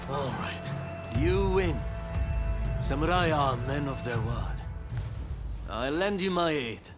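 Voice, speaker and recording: An actor playing a confident, courteous young samurai in a video game cutscene, wry and sporting. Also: male voice, a little high, animated, slow, over music. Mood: confident, challenging.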